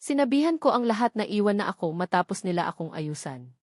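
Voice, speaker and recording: Filipino woman, medium pitch, dramatic storytelling delivery, audiobook narrator, dry studio-like sound. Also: very animated, fast talker, a little mellow, no room sound. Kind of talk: dramatic scripted first-person novel narration.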